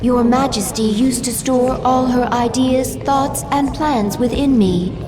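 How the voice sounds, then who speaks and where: An actress playing an obedient, solemn alien servant in a sci-fi video game, speaking formally and with measured deference. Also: female voice, on the high side, lightly acted. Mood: reverent, grave. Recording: echoey, music behind.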